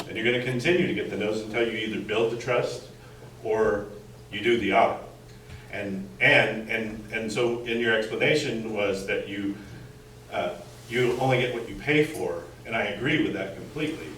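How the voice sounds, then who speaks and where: An American man speaking up as a resident at a community meeting, halting and repeating words as he presses his skeptical point. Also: fairly low pitch, a bit bright. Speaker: resident questioning a school board. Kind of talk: impromptu public comment at a community meeting. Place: indoor community meeting room, recorded from afar. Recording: echoey room, distant mic, faint noise.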